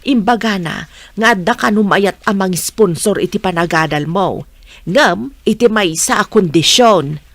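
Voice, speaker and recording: A woman acting a nosy, blunt village mother in an Ilocano radio comedy drama, with a probing, animated manner and an Ilocano accent. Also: mid-range voice, unhurried, no room sound. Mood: curious, explaining.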